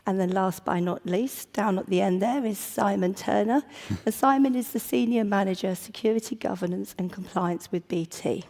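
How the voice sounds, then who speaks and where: A woman with a croaky voice moderating a conference panel, polite and clearing her throat often. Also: medium pitch, normal speed, darkish tone. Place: conference stage before an audience. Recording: long hall reverb.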